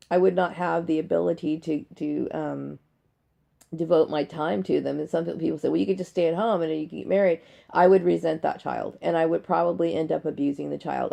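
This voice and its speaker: A woman in her forties with a dry, gravelly voice, talking blunt and unscripted in a personal video, punctuating her points with "okay?". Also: deep voice, warm tone. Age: 40s. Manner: blunt, emphatic, often checking with "okay?". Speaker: video blogger. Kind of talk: unscripted personal monologue to camera. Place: bedroom at home.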